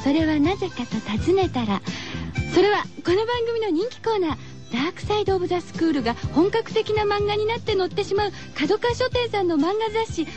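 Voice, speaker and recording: A woman's voice: extremely high pitch, animated, a little fast, over music. A woman hosting a radio show from a studio, chatty but scripted, with cutesy, playful phrasing.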